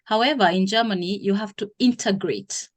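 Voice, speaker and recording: A woman from Kenya in her thirties, a guest in an interview, who explains things at length with pauses and self-corrections. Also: mid-range voice. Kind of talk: interview conversation.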